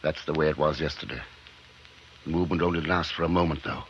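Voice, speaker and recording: Obsessed scientist, low-pitched, old radio. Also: male voice, steady tone, quick pace. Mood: absorbed, controlled. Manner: clipped, intense, feverishly insistent. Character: obsessed, defiant male scientist bringing a creature to life. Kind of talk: 1950s radio drama acting. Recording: muffled, noisy 1950s radio recording.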